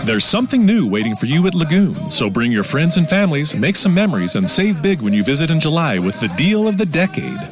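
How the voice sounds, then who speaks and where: A man announcing a scripted radio ad with an energetic, promotional delivery. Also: a little high, fairly quick, few pauses, over music.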